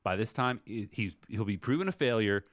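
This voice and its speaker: A man with a low voice chats casually about politics on a podcast, close and dry. He explains things in a rambling way, often rephrasing as he goes. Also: animated, brisk, no room sound. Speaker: podcast co-host.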